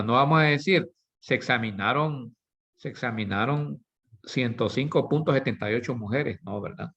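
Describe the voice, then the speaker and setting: A man's voice: medium pitch, fairly bright tone, husky. A man giving a recorded statistics lesson, explaining with everyday examples, correcting himself now and then, and asking his students "right?" after nearly every point. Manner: asks "¿sí?" and "¿verdad?" constantly. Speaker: teacher. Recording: slightly dull lo-fi recording.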